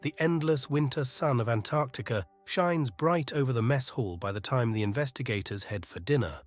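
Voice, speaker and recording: Mid-pitched man narrating an audio drama. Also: moderate pace, soft background music. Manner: literary, atmospheric storytelling.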